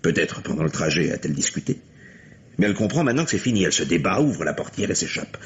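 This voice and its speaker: Police detective: methodical, insinuating, deep voice, dull vintage radio-play sound. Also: male voice, very animated, fast talker. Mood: methodical, matter-of-fact. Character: methodical, probing police detective questioning a widower. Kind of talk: French radio mystery drama acting. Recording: muffled vintage radio drama recording.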